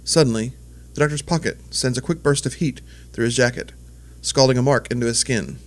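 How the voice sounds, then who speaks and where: A man's voice: medium pitch, fairly quick. A man giving scripted, present-tense narration as the storyteller of an audio drama.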